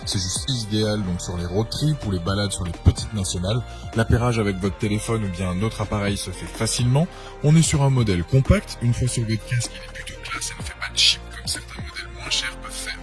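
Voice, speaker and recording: A man's voice: on the low side, fairly quick. A man presenting a scripted product review as narration. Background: background music.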